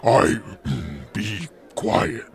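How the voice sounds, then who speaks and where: Very slow, gravelly, halting brute. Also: male voice, lowish voice, huge pitch swings, heavily acted. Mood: gruff, halting. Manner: halting broken speech. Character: slow-witted, gruff, loyal male companion, few words. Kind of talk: radio drama acting.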